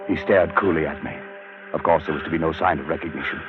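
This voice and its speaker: A man's voice: very deep voice, fairly even intonation, quick pace. An actor playing a haunted, terrified man telling of his doom in a dramatic, brooding old-time radio horror style. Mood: tense dread. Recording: muffled old radio recording with music.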